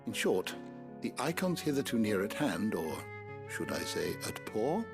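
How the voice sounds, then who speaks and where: An actor playing a courteous, scholarly older gentleman in a game, with a British accent and formal, deliberate phrasing. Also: lowish voice, very animated, gravelly, heavily acted. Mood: pensive, courteous. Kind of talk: video game cutscene acting. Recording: soft music behind the dialogue.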